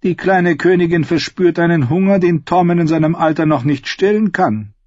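A man's voice: fairly high pitch, moderate pace, a little mellow, dry recording, lo-fi sound, pitched above the performer's own voice, natural voice. A man voicing a sly lady-in-waiting with dry, mocking remarks.

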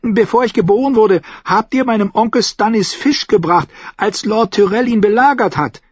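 A man's voice: high-pitched, moderate pace, husky, no room sound, muffled recording, voice pushed up. A man voicing a self-assured, curious young noble boy in an audiobook story, speaking formally and directly.

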